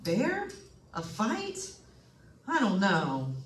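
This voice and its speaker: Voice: medium pitch, wildly swooping pitch, extremely slow, heavily acted. A performer playing a steadying male colleague in an old-time radio drama, conversational and reassuring. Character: steadying male colleague, calming a nervous friend. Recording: roomy, distant-miked stage recording.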